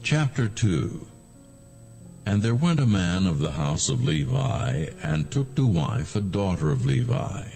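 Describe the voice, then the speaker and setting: A man giving solemn, measured scripted narration of scripture as a storyteller. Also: fairly low pitch, sing-song, creaky, dark tone, faint music behind.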